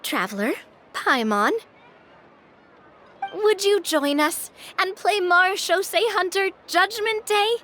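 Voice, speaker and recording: A woman's voice: very high voice, lively intonation, slow, pauses often, a bit bright, a little hiss or hum, exaggerated voice. A woman playing a playful, eager young woman in a video game, with a theatrical, exclamatory manner. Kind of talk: English video game voice acting.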